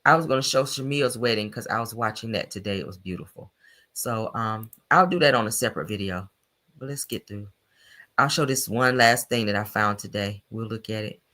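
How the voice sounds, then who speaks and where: A woman doing casual, blunt reality-TV gossip commentary for her viewers, her voice deepened by allergies. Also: fairly quick, fairly bright tone. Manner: blunt, slangy, talks straight to viewers.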